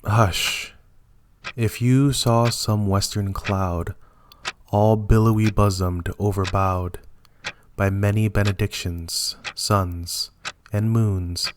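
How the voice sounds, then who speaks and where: A man's voice: fairly low pitch, fairly even intonation, slow, background noise. A man giving a dramatic reading of classic love poetry, line by line. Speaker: poetry reader.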